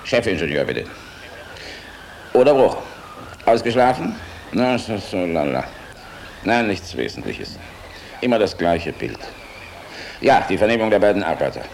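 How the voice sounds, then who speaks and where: A low-voiced, weary German general. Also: male voice, sing-song, creaky, darkish tone, exaggerated voice. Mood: weary, businesslike. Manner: measured, frank, dryly ironic. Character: weary, blunt, decent German air force general. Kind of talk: German radio play acting. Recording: noisy radio play recording.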